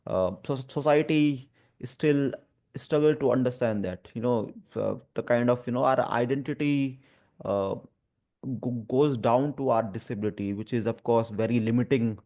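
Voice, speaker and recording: A man with an Indian accent and a stammer, speaking haltingly and earnestly as an advocate sharing personal experience. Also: medium pitch, warm tone. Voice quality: stammer, with repeated sounds and blocks. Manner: hesitant, halting, earnest phrasing. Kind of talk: personal talk about lived experience, conversational.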